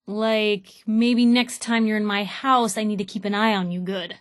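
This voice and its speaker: A woman's voice: mid-range voice, fairly even intonation, moderate pace, dry recording, studio quality, lightly acted. A woman voicing a feisty young female journalist, a wry, wisecracking companion in a video game. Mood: curious, impressed. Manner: wisecracking, sardonic, slangy. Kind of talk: video game voice acting, short combat and banter lines.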